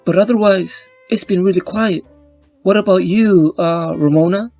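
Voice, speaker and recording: An actor playing a young, weary, wisecracking superhero, narrating in a brooding, wry comic-book style. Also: male voice, high-pitched, normal speed, a little mellow, faint music behind. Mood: tired, casual.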